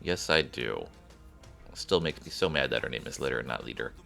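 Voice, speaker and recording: Deep-voiced man streaming a game. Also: animated, a little fast, gravelly, sharp tone, faint music behind. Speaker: streamer playing and commenting on a mobile game. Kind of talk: casual gameplay commentary with read-aloud dialogue.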